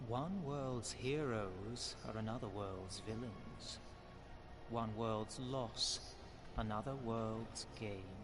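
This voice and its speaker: An actor playing a grave fantasy game narrator, speaking in a solemn, measured manner. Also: male voice, lowish voice, music in the background. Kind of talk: slow scripted dramatic game narration.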